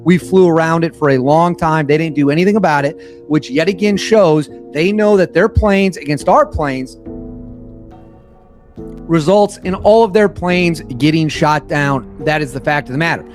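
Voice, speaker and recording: Slightly hoarse man giving emphatic commentary. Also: fairly high pitch, moderate pace, faint music behind. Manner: emphatic, repeats key points for stress. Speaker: political news commentator. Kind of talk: opinionated news commentary, reading articles aloud.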